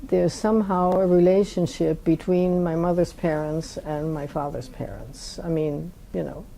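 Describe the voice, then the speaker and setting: A woman in her eighties with a Dutch accent, speaking slowly in an oral history interview and pausing to search for her words. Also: on the low side, steady tone, vocal fry, warm tone, poor audio. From Netherlands. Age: 80s.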